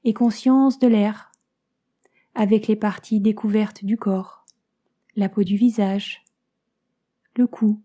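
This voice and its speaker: A woman guides a yoga nidra meditation as a teacher, calm and soothing, in soft phrases that turn breathy at the ends, with many pauses. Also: medium pitch. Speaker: yoga nidra teacher guiding a relaxation session.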